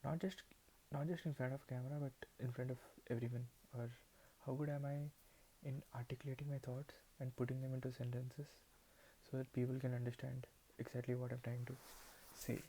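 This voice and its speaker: Hesitant young man, gravelly low voice. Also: little pitch movement, brisk, warm tone, a little hiss or hum, rough recording. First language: Telugu. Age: 20s. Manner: hesitant, many restarts and repeated words. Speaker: vlogger. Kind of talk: unscripted talk to camera.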